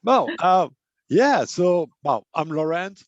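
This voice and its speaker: A man's voice: high-pitched, lively intonation, slow pace, no echo, dull audio. A man who is not a native English speaker hosts an online interview, talking hesitantly with many fillers and repeated words. He works as a life and business coach. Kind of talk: casual interview chat.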